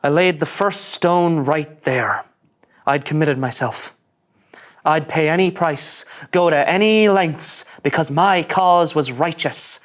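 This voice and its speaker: A man's voice: fairly high pitch, takes it slowly. A man playing an anxious, earnest young starship ensign in a sci-fi comedy, nervous and overdramatic. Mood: brooding narration. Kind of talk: animated sci-fi comedy table read.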